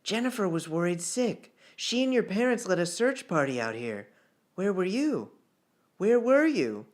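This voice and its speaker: A man's voice: high-pitched, sing-song, a little slow. A man reading the part of a concerned, weary small-town sheriff in a storybook narration.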